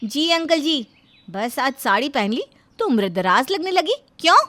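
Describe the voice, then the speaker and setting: A woman voicing a sassy, sharp-tongued young daughter-in-law in a story, sarcastic and challenging. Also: high-pitched, sing-song, a little slow, noisy background. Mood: indignant.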